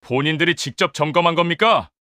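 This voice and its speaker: A man's voice: on the high side, quick pace, pushed and bright, no room sound, studio quality. A man playing a safety manager in an audiobook drama, questioning in a formal, probing manner. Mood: stern. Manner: formal, interrogating.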